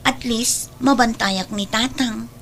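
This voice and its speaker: Babai a kas madanagan a balasang, adda ariwawa. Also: female voice, fairly high pitch, fairly even intonation, takes it slowly, fairly bright tone, slight background noise. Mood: resigned, earnest. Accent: Ilocano. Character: dutiful working daughter, worried and self-sacrificing. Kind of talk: Ilocano radio-style audio drama.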